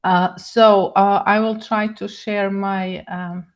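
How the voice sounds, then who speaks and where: An older woman from Croatia with a Croatian accent, giving a webinar lecture in a halting way with many pauses. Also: medium pitch, level tone, highs cut off. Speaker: guest speaker, neurochemist. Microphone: video call.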